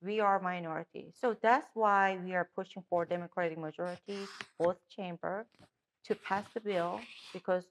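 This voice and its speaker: A woman's voice: on the low side, steady tone, moderate pace, slight background noise. A middle-aged woman with a Korean accent, a native Korean speaker, answering interview questions as a political candidate with frequent restarts and fillers.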